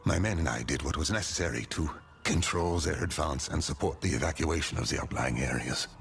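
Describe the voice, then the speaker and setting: Stern gravelly army commander. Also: male voice, extremely low pitch, a little fast, a bit bright, faint music behind, full character voice. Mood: grave, resolute. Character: battle-hardened male army commander, stern, dutiful, urgent. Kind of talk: video game voice acting.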